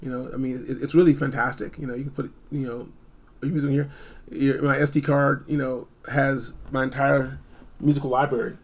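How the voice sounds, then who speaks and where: A man giving a casual, unscripted gadget review, blunt and informal, rambling and repeating phrases for emphasis, with a gravelly, husky voice. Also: mid-range voice, little pitch movement, normal speed. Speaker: product reviewer.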